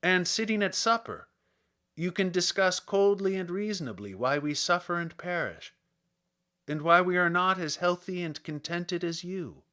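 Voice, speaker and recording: A man's voice: high voice, moderate pace, bright, forceful tone, voice pushed up. A man voicing weary, burdened wandering men in a storybook reading, chanting a bitter lament in a rhythmic, declamatory way. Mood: bitter reproach.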